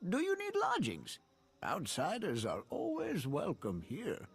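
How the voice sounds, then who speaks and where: An actor voicing a friendly, overly welcoming alien villager in a video game, who talks in a slow, soothing way. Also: male voice, a little high, huge pitch swings, breathy edge, exaggerated voice. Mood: friendly, curious.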